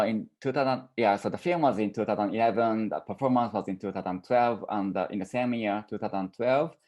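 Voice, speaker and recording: Low-voiced man, halting artist talk. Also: a little mellow. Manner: halting, searching for words. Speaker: artist presenting own work.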